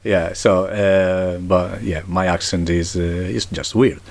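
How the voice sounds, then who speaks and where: A man's voice: low voice, steady tone, takes it slowly, gravelly, a little hiss or hum. A middle-aged man with an Italian accent, chatting casually on a podcast as a father, blunt and laughing often. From Italy. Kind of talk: casual chat.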